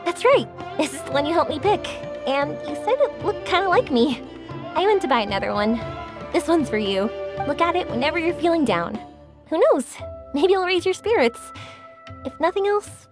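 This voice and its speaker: A woman playing a cheerful, sincere young woman in an anime video game, expressive and bubbly. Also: sits high, normal speed. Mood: affectionate, cheerful. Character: cheerful, warm-hearted young woman fireworks maker. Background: music.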